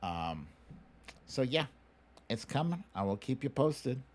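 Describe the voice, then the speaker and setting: American guy hosting a livestream, slow easy chat, middling pitch, pauses to think as he answers viewers. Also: big pitch swings. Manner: unhurried, musing, trailing pauses. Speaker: livestream show host.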